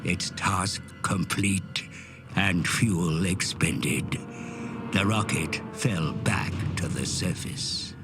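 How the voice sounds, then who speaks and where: An actor playing a grave, portentous narrator of an epic fantasy game chronicle, speaking in measured, theatrical phrases. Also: male voice, low voice. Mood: solemn, grave. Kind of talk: solemn dramatic scripted narration of fantasy game cutscenes. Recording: loud orchestral music behind. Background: loud cinematic game music.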